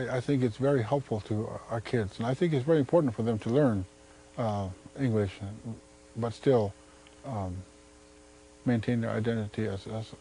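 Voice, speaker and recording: Earnest, halting man with mellow voice. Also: mid-range voice, slight background noise, low-quality recording. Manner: halting, repeats words, earnest. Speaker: school helping professional. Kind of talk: documentary interview testimony.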